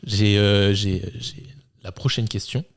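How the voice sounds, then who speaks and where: Low-voiced hesitant male quiz host. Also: fairly even intonation, slow. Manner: hesitant, lots of fillers. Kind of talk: casual chat.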